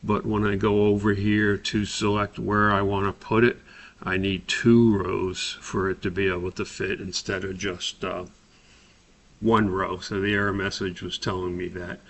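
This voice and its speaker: A male instructor with a low voice and a gravelly fry talks through an on-screen software lesson. His delivery stays quite flat as he explains each step and thinks aloud. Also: moderate pace. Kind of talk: spoken screen-recorded software tutorial.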